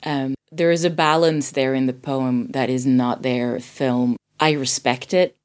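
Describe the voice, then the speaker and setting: A woman from Sweden with a low-pitched voice talks casually about film. She is a native Swedish speaker and thinks aloud, often stopping to rephrase as she goes. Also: steady tone, a little slow, darkish tone. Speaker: podcast guest reviewing a film.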